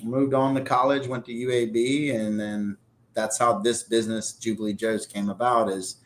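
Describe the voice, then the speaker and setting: A man in his forties, originally from Pakistan, guesting on an interview. He talks in a relaxed, rambling way, full of fillers, with an ordinary mid-height voice. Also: mid-range voice, normal speed. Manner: easygoing, talkative, loose storytelling with fillers. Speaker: restaurant owner, guest. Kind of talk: casual interview storytelling.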